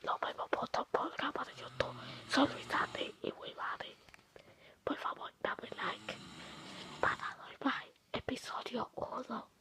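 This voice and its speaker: A man's voice: very high voice, steady tone, slow pace. Frightened whispering male vlogger. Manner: frightened whispering, pleading and repetitive. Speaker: YouTube vlogger. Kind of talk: whispered spooky vlog.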